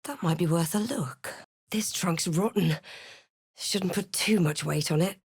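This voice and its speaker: A self-possessed young fantasy adventurer for a video game. Her delivery is wry and measured, with a touch of drama, in a very dry, close recording. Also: on the low side, darkish tone, husky, dry recording, exaggerated voice. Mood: dry, resolute. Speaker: video game player-character voice actor. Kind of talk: scripted game voice lines, narration and spell incantations.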